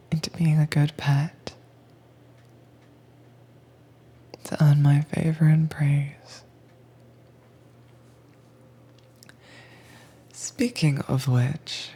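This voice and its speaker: A dominant mistress, acted by a woman, speaks low and very breathily, almost whispering. She takes her time, coaxing and teasing in an intimate roleplay while staying firmly in command. Her tone is dark and velvety soft. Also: husky, heavily acted.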